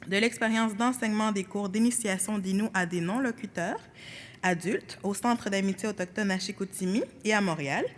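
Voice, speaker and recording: A young woman co-presenting at a conference, reading a prepared presentation in a steady, formal way. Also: medium pitch, normal speed. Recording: reverberant room with faint ambient noise.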